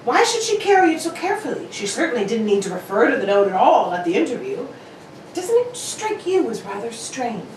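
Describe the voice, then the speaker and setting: Woman playing sharp-minded detective, precise n emphatic, high voice, old radio mystery style, kinda far from the mic w some hiss. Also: big pitch swings, a little fast. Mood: emphatic reasoning. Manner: precise, probing, theatrically emphatic reasoning. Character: brisk, analytical, confident gentleman detective. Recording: roomy, distant, noisy home recording.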